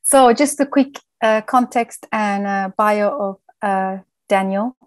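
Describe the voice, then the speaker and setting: A woman's voice: medium pitch, slow pace, no room sound. A woman in her thirties from the UK hosting an interview podcast. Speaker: podcast host.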